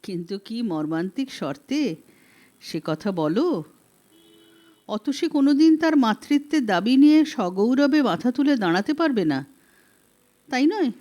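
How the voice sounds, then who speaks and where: A woman's voice: fairly high pitch, expressive, measured pace, pauses often, mellow. A woman voicing an earnest, probing man, a loyal friend, in an audiobook, with pointed, insistent questioning. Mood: indignant, pressing. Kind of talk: audiobook character reading.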